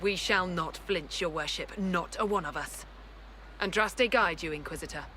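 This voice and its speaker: A high-voiced, devout soldier captain. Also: male voice, moderate pace, sharp tone. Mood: resolute, reverent. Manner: formal, dutiful, martial. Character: devout, resolute soldier captain reporting to his leader. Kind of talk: video game dramatic acting. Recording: noisy game battlefield ambience.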